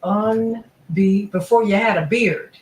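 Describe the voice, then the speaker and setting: A woman's voice: medium pitch, slow pace, reverberant, poor audio. A woman hosting a radio talk show, introducing guests effusively with frequent fillers.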